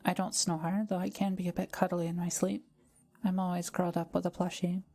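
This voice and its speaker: A woman playing a kind, soft-spoken forest witch in an intimate audio roleplay, talking to the listener in gentle, formal, old-fashioned wording. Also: fairly low pitch, little pitch movement, fairly quick. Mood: warm, hospitable.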